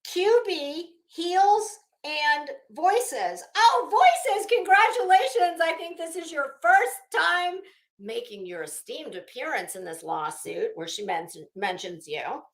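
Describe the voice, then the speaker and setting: A woman's voice: sits high, sing-song, slow, reverberant. A woman giving casual, rambling commentary on a document as a channel host, with a blunt, sarcastic, sweary manner. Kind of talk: casual spoken commentary while reading a legal document.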